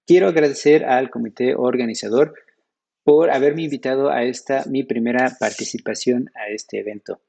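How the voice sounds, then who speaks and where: A young man with a Mexican Spanish accent walks through his slides in a measured, explanatory way, like a teacher at a conference session. His voice has a gravelly edge and a somewhat husky texture. He speaks into a computer webcam in a small room. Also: medium pitch, warm tone. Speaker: conference presenter. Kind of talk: recorded slide presentation.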